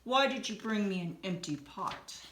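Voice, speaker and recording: A woman's voice: medium pitch, animated, a little slow, a bit bright. A woman reading the part of a very old, solemn storybook emperor in a formal, stately manner. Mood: stern questioning. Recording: roomy echo, some background noise.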